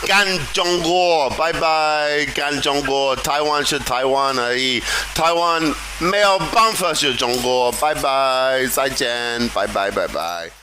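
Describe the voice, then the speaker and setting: A man's voice: mid-range voice, takes it slowly, hardly any pauses, pushed and bright, a little hiss or hum. A drunk man from England ranting on a video call, repeating himself in a slurred, belligerent way. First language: English. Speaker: online English teacher.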